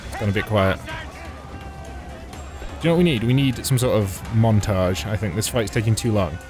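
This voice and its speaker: A man chats through a video game with a wry, mocking edge, poking fun at its plot and quests. Loud game music plays under his voice the whole time. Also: fairly low pitch, normal speed. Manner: wry, mocking, chatty asides. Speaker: let's play host. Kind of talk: sarcastic gameplay commentary.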